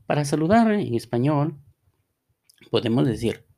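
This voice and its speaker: Slow, gravelly man teaching. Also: fairly high pitch, very animated, mellow. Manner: slow, deliberate, reads model dialogues aloud. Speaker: Spanish teacher. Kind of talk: scripted language lesson podcast.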